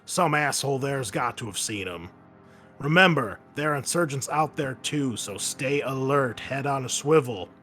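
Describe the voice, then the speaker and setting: A man voicing a gruff, foul-mouthed Marine commander giving emphatic orders in a tabletop game briefing. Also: medium pitch, lively intonation, moderate pace, bright, forceful tone, soft background music. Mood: stern.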